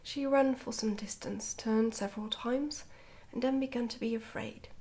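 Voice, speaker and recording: A woman reading a children's storybook in a hushed, breathy whisper, gently and carefully, in ASMR style. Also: a little high, steady tone, moderate pace. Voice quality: whispery, breathy, soft-spoken. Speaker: ASMR book reader.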